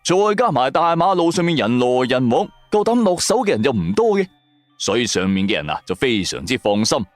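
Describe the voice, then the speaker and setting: A man's voice: mid-range voice, sing-song, brisk, dry recording, faint music behind. A man with a Cantonese accent, narrating a first-person story in a dramatic audio drama style.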